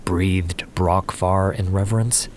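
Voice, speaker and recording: A man's voice: deep voice, steady tone, takes it slowly, darkish tone, a little hiss or hum. A man narrating a scripted fantasy story as a dramatic audiobook narrator. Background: music.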